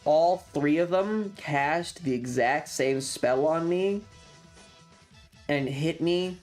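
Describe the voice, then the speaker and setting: A mid-pitched streamer recounts a tabletop adventure to a live chat, with music playing behind. The delivery is casual and sweary, leaning hard on key words and repeating them for dramatic effect. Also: takes it slowly, a little mellow. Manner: emphatic, repeats phrases for drama. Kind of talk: animated storytelling to a livestream chat about a tabletop game.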